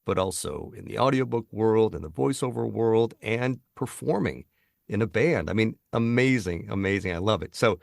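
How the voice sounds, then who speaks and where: Gravelly-voiced male podcast host. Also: on the low side, lively intonation, normal speed, dry recording, clean studio sound. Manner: enthusiastic, rambling questions. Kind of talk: interview hosting.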